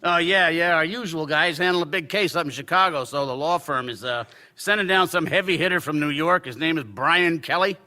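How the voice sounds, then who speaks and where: A brash, profane male newspaper editor in a radio play. His voice sits high and comes across very bright and cutting. He talks bluntly, pushes hard and swears freely. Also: a little fast. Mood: tired. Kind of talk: radio drama acting.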